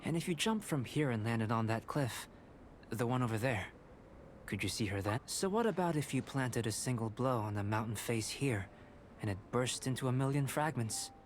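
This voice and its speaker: An actor playing a polite, methodical young male alchemist scholar in a game, speaking in a measured, courteous and analytical way. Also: mid-range voice, expressive, slight background noise, natural voice. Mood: calm. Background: game music.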